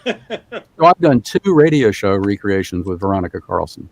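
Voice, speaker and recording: A man's voice: fairly low pitch, sing-song, normal speed, low-quality recording. A man guesting on a podcast, telling a rambling story with many fillers. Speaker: guest voice actor.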